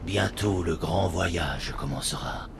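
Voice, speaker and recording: Casting: aged alien religious leader, very low creaky voice, grandiose, coldly judging, video game drama. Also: male voice, full character voice. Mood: solemn, condemning. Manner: slow, grandiose, measured. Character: solemn old alien high prophet, male, coldly judging. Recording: music behind the dialogue.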